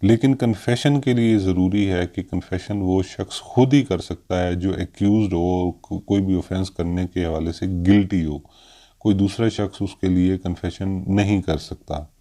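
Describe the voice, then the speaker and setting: Deep-voiced Pakistani man, slow and halting. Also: fairly even intonation, dark tone. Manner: halting, with frequent hesitations. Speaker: law lecturer. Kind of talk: explanatory legal lecture, mixing in English terms.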